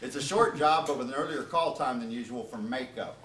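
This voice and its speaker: Male author, mid-pitched, comedic live reading with informal asides; echoey room recording with clear background noise. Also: brisk. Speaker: author reading from his own book. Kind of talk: comedic storytelling, reading aloud to an audience. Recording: roomy, distant, noisy live event recording.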